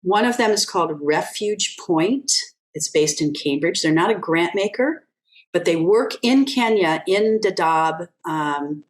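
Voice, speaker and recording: A woman speaking as a guest on a webinar, giving thoughtful, encouraging advice with pauses over a video call. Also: lowish voice, animated.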